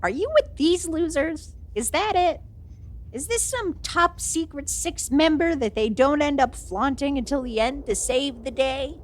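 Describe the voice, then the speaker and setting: Woman acting a high-voiced, haughty evil sorceress queen. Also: unhurried. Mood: incredulous. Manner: imperious, indignant, melodramatic. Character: haughty, easily affronted young female evil sorceress queen. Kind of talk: ASMR audio roleplay acting.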